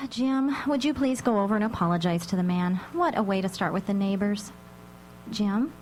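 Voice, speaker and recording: An actress playing an exasperated, insistent mother in a live radio drama, coaxing and gently scolding. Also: medium pitch, expressive, moderate pace, lightly acted, poor audio. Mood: worried, scolding. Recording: live radio recording with light hum.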